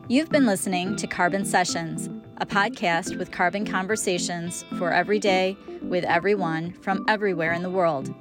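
A woman's voice: fairly low pitch, moderate pace. A woman announcer reading scripted promotional narration for a podcast. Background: guitar music.

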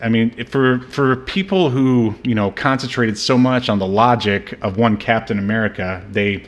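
A man's voice: medium pitch, normal speed. A man co-hosting a podcast, chatting casually about a movie in a rambling, joking, slangy way. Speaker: podcast co-host. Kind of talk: casual movie review chat.

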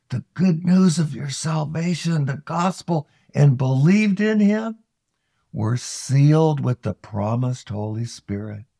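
A man reading scripture aloud solemnly, with measured, deliberate phrasing and frequent pauses. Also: medium pitch, gravelly, warm tone, dry recording. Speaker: scripture reader.

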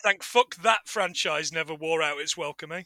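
A man's voice: a little high, normal speed, pushed and bright, no room sound, studio quality. A man co-hosting a film podcast, recapping casually in a jokey, sardonic and sweary way.

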